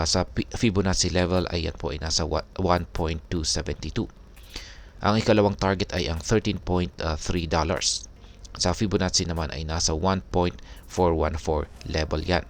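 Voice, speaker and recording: A Filipino man hosting an explanatory video, speaking in a polite, measured, formal way. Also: low voice. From Philippines. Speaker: crypto video host. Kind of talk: explanatory narration over charts.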